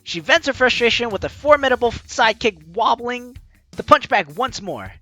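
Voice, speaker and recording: Bright, animated woman doing a let's play. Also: a little high, normal speed, no room sound, faint music behind. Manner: playful, theatrical asides. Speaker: gaming video host. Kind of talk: let's play, reading game text aloud with casual commentary.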